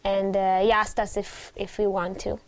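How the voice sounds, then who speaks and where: A woman's voice: medium pitch, flat delivery, normal speed. A young woman in her twenties, a music student, telling a short story in an interview with many fillers. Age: 20s.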